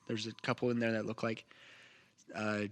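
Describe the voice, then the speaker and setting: A man narrating a tabletop game as game master, describing scenes in a halting way. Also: fairly low pitch, little pitch movement. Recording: noticeable background noise.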